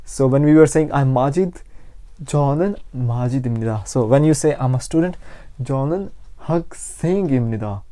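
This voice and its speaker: Male online language teacher, Pakistani accent, soft mellow tone, close dry sound, explanatory and repetitive for beginners. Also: medium pitch, no echo. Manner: explanatory, repeats phrases slowly for learners. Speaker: language teacher and channel host. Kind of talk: informal language lesson.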